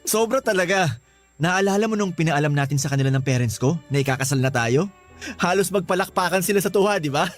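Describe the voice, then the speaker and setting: Actor as devoted young Filipino groom, higher-pitched. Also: male voice, expressive, quick pace, soft background music, lightly acted. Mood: happy, tender. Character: Fred, earnest, devoted, excitable young groom. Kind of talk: radio drama acting in Tagalog.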